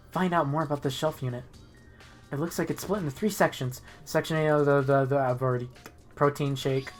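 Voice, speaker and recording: A woman's voice: deep voice, darkish tone. A woman streaming a story game, reading the protagonist's lines aloud in a casual, sometimes profane way.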